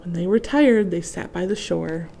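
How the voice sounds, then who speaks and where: A woman's voice: fairly low pitch, moderate pace, creaky, dark tone, a little hiss or hum. A woman reading a children's picture book aloud expressively, adding chatty asides to young listeners. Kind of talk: children's storybook read-aloud with casual asides.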